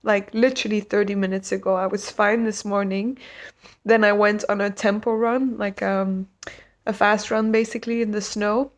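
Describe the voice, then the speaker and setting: Stuffy-nosed woman with a flat voice. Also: mid-range voice, normal speed. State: nasal from a cold. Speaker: mental health coach. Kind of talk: casual talk to camera.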